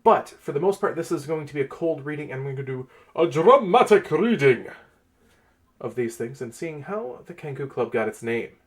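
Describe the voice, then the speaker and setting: A man's voice: on the high side, animated, normal speed. A man giving a casual, conversational update as the host of a tabletop gaming channel.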